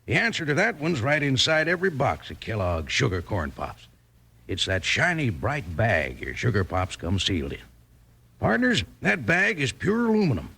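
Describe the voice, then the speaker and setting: A man doing a folksy, exclamatory sales pitch as the announcer of a 1950s cowboy radio advertisement. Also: wildly swooping pitch, moderate pace, vocal fry, sharp tone, slightly rough.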